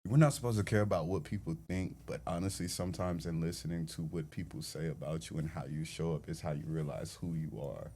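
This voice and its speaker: A man's voice: rumbling low, fairly even intonation, brisk, hardly any pauses, dark tone. A man co-hosting a podcast, speaking in a thoughtful, reflective way. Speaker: podcast co-host. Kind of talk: casual podcast chat.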